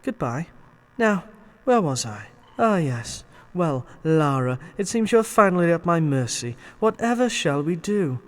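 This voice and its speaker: A man's voice: a little high, very animated, unhurried. An actor playing a vain, twisted male hacker in an audio drama, pompous and arch in manner. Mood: smug, theatrical.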